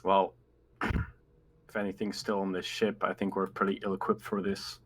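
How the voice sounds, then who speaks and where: A man's voice: deep voice, level tone, normal speed. A man joking and chatting casually as a player in a tabletop roleplaying game, on a noisy microphone.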